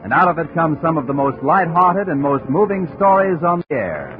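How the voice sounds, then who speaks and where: American man doing old radio promo, highish bouncy pitch, tinny sound. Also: big pitch swings, moderate pace. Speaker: network announcer. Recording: phone-like old radio, faint music.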